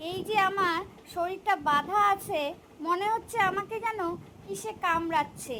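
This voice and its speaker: A woman voicing a hungry, gullible tiger in an animated children's story, bossy but easily scared, with drawn-out delivery. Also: very high voice, a little hiss or hum. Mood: pleading.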